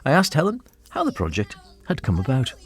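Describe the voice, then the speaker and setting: A man's voice: medium pitch, huge pitch swings, a little fast, husky. A man presenting a radio arts show in a scripted style as its host.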